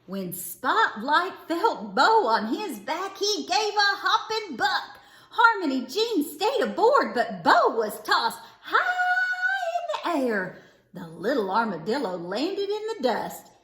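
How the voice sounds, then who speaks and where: A woman reading a children's story aloud with theatrical, deliberate phrasing. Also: very high voice, very animated, pushed and bright. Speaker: storybook reader. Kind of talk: expressive children's read-aloud narration. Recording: roomy, echoing indoor sound.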